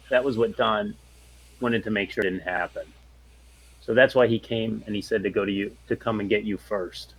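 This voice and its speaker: A man's voice: on the low side, husky, low-quality recording. A man talking earnestly as a tabletop role-player, explaining and rephrasing as he goes. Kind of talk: earnest in-character planning talk.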